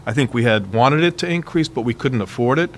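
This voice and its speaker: A man giving figures and context on a school budget at a board meeting, measured and filled with ums. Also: medium pitch, expressive, slight background noise. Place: public meeting room.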